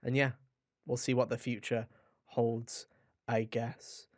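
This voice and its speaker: A man's voice: mid-range voice, little pitch movement, takes it slowly, dry recording. A man hosting a podcast in a casual, chatty way, with a jokey, mock-dramatic manner, close to the microphone. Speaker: podcast host.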